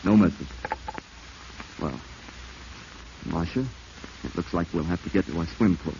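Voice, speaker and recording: A man's voice: sits low, a little slow, vocal fry. An actor playing a desperate, domineering corrupt lawman plotting murder, clipped and commanding, in 1940s radio drama style. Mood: controlled, scheming. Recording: muffled hissy vintage radio recording.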